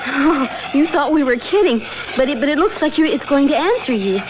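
A woman acting in a 1970s radio drama, nervous and hesitant, stammering, on a lo-fi radio recording with clear hiss. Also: high-pitched, lively intonation, a little fast.

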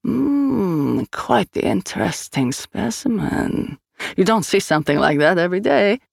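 A man voicing a flamboyant, mocking Scandinavian dealer with a sing-song accent and theatrical, drawn-out delivery. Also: high-pitched, very animated, creaky, no echo, studio quality, voice pushed up, full character voice. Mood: leering.

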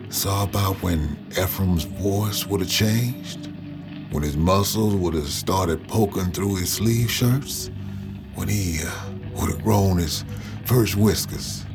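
An actor playing an elderly man who recounts his painful past in measured, halting audio drama narration. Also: deep voice.

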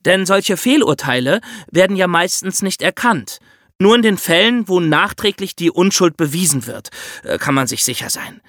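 A man's voice: sits high, animated, normal speed, a bit bright, no echo, studio quality. A man hosting a podcast, explaining things to his co-hosts in a conversational way with examples. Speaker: podcast host. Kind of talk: conversational true-crime explainer.

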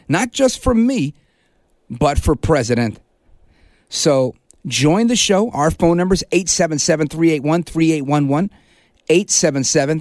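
Emphatic talk radio host, dry studio. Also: male voice, on the high side, darkish tone, no echo. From Brooklyn, New York, United States. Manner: emphatic, punchy, repeats key words with slow stress. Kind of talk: political talk radio monologue. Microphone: studio microphone.

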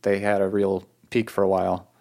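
A man with a fairly low voice and a New England accent. He chats casually as the host of a podcast, asking questions and sharing his own thoughts. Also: completely flat delivery, brisk, vocal fry, darkish tone. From New England, United States. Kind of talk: casual chat interview.

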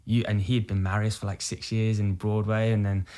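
Earnest low-voiced man in his thirties. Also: little pitch movement, a little fast, mellow. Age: 30s. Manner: earnest, reflective, self-quoting. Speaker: musical theatre actor, podcast guest. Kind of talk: candid interview chat.

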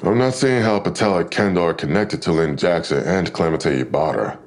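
An actor playing a probing, laconic police detective in an audio drama, with a gravelly voice. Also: male voice, low-pitched, fairly quick. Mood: puzzled, musing. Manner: questioning, laconic. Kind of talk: full-cast audio drama acting. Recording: clear background ambience noise.

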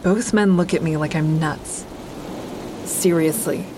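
Low-voiced wary woman narrating. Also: measured pace, background noise. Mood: uneasy, confiding. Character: wary, anxious woman narrating her own danger. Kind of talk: first-person audio drama narration.

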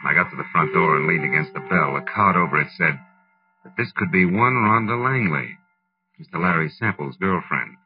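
A man's voice: low-pitched, animated, a little fast, recorded from a distance, music in the background. A man playing a wry, wisecracking American private detective in a hard-boiled 1940s radio drama style. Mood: wry narration. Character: wry, wisecracking male private detective narrating his case. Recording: muffled old-time radio recording.

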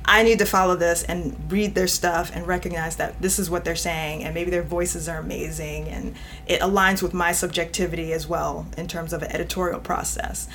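A woman's voice: fairly low pitch, flat delivery, normal speed, fairly bright tone, slight background noise. A woman from New York, a guest editor talking directly in a casual podcast chat and laughing often as she speaks.

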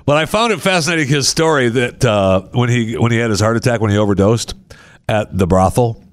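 A man hosting a talk radio show, telling a story in casual banter and working through the numbers slowly with dry humor. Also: medium pitch, no room sound. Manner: wry, deadpan storyteller who walks through numbers slowly.

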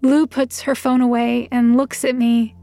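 Earnest female investigator's assistant, higher voice with heavy fry, introspective audio drama narration. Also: level tone, a little slow, warm tone, no echo, clean studio sound, soft background music. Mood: reflective. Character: earnest, reflective, conscientious female detective's assistant.

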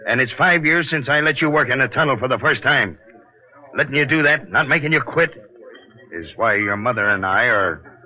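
A man's voice: mid-range voice, expressive, normal speed, close to an everyday voice. An actor playing a weary, protective middle-aged father and tunnel laborer, plain-spoken, in 1940s radio drama style. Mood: resigned, regretful. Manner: plain-spoken working-class talk. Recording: tinny 1940s radio broadcast, music.

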